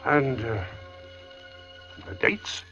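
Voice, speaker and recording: Halting, amiable gravestone carver, bright-edged and unhurried, vintage radio drama. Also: male voice, medium pitch, wildly swooping pitch, full character voice. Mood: bewildered. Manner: slow, halting, bewildered mumbling. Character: amiable, flustered working tombstone carver, male. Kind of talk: 1940s radio suspense acting. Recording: dull vintage radio recording with music.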